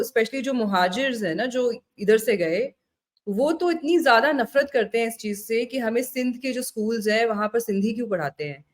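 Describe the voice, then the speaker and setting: A woman with an Indian accent and a slightly high-pitched voice hosts an interview. She talks in a conversational way about serious matters. English words are mixed into her speech. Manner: mixes Hindi-Urdu with English words. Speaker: interview host. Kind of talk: conversational political interview, long questions.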